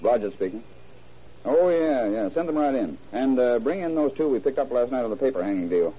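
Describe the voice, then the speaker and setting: An actor playing a tough, sardonic police inspector with a dry, blunt manner in an old-time radio crime drama. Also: male voice, mid-range voice, expressive, brisk, slightly rough. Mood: brisk, commanding. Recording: muffled, noisy vintage radio recording.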